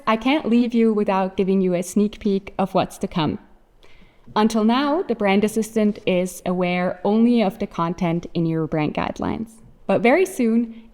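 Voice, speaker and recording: A woman whose first language is German, giving a scripted, measured product keynote presentation. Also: mid-range voice, darkish tone.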